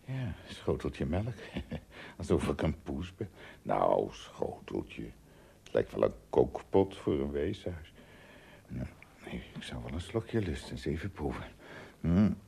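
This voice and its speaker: An actor playing an astonished, easily frightened male traveller, tiny among giants, in a Dutch radio play, talking to himself with exclamations and hesitant repeats, his voice husky and gravelly. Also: very deep voice, very animated, slow pace, mellow, full character voice. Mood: amazed.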